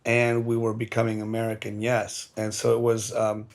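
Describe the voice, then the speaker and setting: A man's voice: on the low side, monotone, normal speed. A middle-aged man from Mexico, an interviewed artist, explaining his experience reflectively and earnestly, repeating words as he searches for the next.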